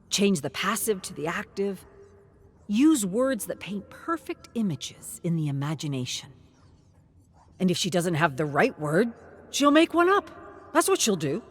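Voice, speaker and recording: A woman reads a scripted story as narrator, with theatrical, deliberate delivery and emphatic short phrases. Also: fairly low pitch, slight background noise.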